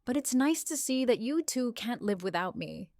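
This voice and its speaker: A woman voicing a brash, sharp-tongued mother in a comic dub, blunt and sardonic. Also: on the high side, big pitch swings, normal speed, a little hiss or hum. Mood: smug.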